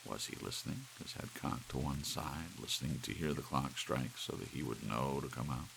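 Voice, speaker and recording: A man narrating an audiobook in ASMR style, with soft rain behind him. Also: a bass voice, moderate pace, creaky, background noise.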